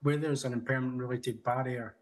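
A man in his thirties giving a prepared conference talk over a video call from a room at home, measured, with small restarts. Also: mid-range voice, steady tone. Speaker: conference speaker. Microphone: video call webcam.

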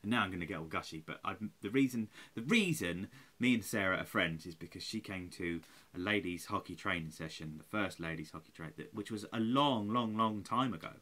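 A man's voice: low-pitched, a little fast, few pauses, sharp tone. A man of about forty, tired and rambling, swearing and mocking himself in a home webcam vlog. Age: 40s. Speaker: vlogger. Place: small room at home, indoors. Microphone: webcam at close range.

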